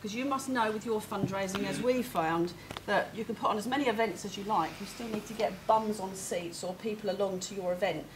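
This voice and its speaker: A woman's voice: mid-range voice, a little fast, few pauses. A woman with a big, carrying voice giving a chatty, informal update to supporters as a hospice representative. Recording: noisy room ambience.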